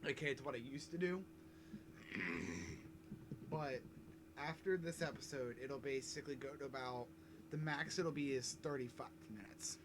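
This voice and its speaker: A man's voice: mid-range voice, normal speed, noisy background. A man giving casual, rambling let's-play commentary, swearing and mocking himself, between coughing fits at his desk mic. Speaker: gaming YouTuber.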